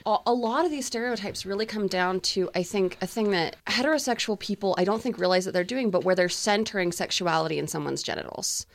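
A young woman speaking thoughtfully and in a measured way in a casual panel discussion. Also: medium pitch, vocal fry. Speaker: panel guest.

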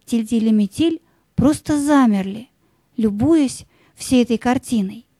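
Mellow, high-voiced woman storyteller. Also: a little slow, no room sound. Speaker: narrator. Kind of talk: scripted fairy-tale narration.

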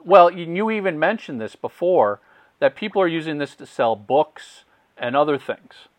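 A man's voice: a little high, lively intonation, moderate pace, no echo, muffled recording. A man hosting a casual podcast interview, asking long questions with stammered restarts. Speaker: podcast host.

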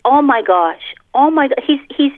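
A middle-aged woman chatting casually as an interview guest, expressive and given to repeating phrases for emphasis. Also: sits high, normal speed. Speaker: author, podcast guest. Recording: muffled narrow phone line.

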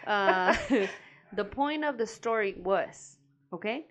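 A woman's voice: mid-range voice, expressive, slow pace, a bit bright. A young woman with a Mexican accent co-hosting a podcast in casual chat, laughing often and swearing freely. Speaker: podcast co-host.

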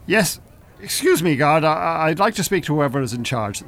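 A man's voice: a little high, expressive, a little fast, a bit bright. A man playing a pompous, evasive Irish hypnotist and con artist in an audio drama, speaking formally. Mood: flustered, indignant.

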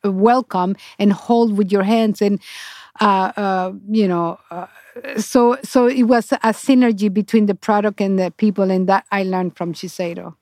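Interview guest: middle-aged woman, Honduran accent, Spanish native, mid-pitched, conversational storytelling with halting word searches. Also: darkish tone, no room sound, studio quality.

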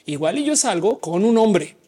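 Woman livestream host, mixed Mexican-Colombian accent, husky voice with a lively swinging pitch, slangy and talkative. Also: normal speed. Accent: mixed Mexican and Colombian Spanish. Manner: casual, chatty, explains at length with slang. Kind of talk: live chat reading audience comments.

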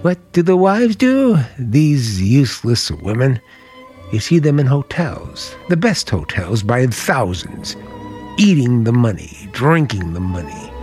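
An actor playing a charming but menacing uncle in a radio play, suave and deliberate with a bitter, contemptuous edge. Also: male voice, medium pitch, a little mellow. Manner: suave, deliberate, turning to cold disdain. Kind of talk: dramatic radio play acting.

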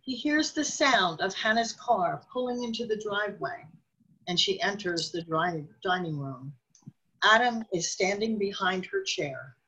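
A woman's voice: medium pitch, lively intonation, measured pace, pushed and bright, slightly rough, poor audio. A woman reading scripted narration for a radio drama as its storyteller.

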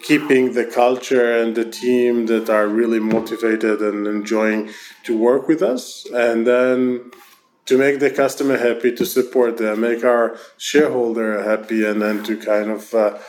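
A man with an Israeli accent, a company founder answering interview questions over an online call in a quick, loose way with frequent restarts. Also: medium pitch, fairly even intonation, a little mellow. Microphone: remote online call.

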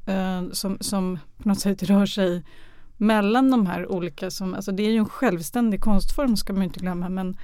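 A woman's voice: mid-range voice, warm tone, smooth, no echo, clean studio sound. A woman answering interview questions hesitantly, searching for words. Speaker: guest, radio drama artistic director.

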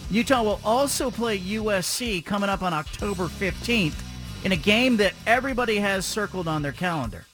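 High-voiced chatty sports radio host. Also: male voice, a little fast, over music. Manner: energetic, chatty, fires long rambling questions. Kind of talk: sports talk radio interview.

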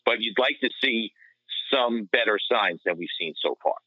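A man's voice: on the low side, moderate pace, dry recording, lo-fi sound. A man talking casually as a panelist in a sports podcast discussion.

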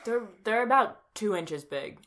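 A woman's voice: mid-range voice, animated, a little slow, fairly bright tone. A young adult woman co-hosting a podcast, chatting casually with a giggly, goofy, exaggerating manner. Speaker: podcast co-host. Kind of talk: casual chat.

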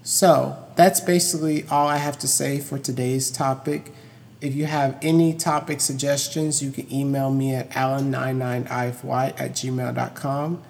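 A man's voice: mid-range voice, level tone, moderate pace, creaky, a little mellow. A young adult man hosting an informal educational solo podcast, emphatic and repetitive as he stresses his key points. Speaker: podcast host. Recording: long hollow room reverb.

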